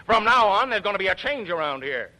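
A man's voice: high voice, big pitch swings, fast, bright, forceful tone, heavily acted, low-quality recording. An actor playing a blustering middle-aged father laying down the law in a 1940s radio drama. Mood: bossy. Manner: blustery, bossy.

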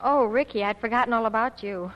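Woman doing a breezy young daughter, high bright voice, echoey. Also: quick pace, dull audio, slight background noise, natural voice.

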